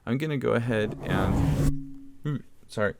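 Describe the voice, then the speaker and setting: A man's voice: mid-range voice, a little slow, creaky, very noisy. A man talking casually and explaining things as he demonstrates a product in a review, recorded at home on a condenser mic. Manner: conversational, explanatory. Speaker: microphone reviewer. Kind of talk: casual product demo talk. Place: open room at home, in a dome house. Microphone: condenser mic on a mixer, fairly close.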